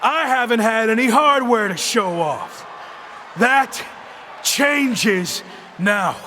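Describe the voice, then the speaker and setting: Casting: cocky game wrestler, high projected voice, slow emphatic promo delivery over arena crowd noise. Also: male voice, expressive, exaggerated voice. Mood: cocky, boastful. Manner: emphatic, deliberate arena speechmaking. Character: defiant returning pro wrestler, cocky and determined. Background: loud arena crowd ambience.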